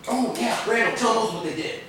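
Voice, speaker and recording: A man's voice: very high voice, a little fast. Guy playing slangy big-hearted young joker in a play, way off in a noisy hall. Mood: excited. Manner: loose, slangy, boisterous. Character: brash, joking young husband and buddy, big-hearted. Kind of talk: stage play acting. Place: theatre stage, videotaped live from a distance. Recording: echoey noisy theatre hall, distant camera mic.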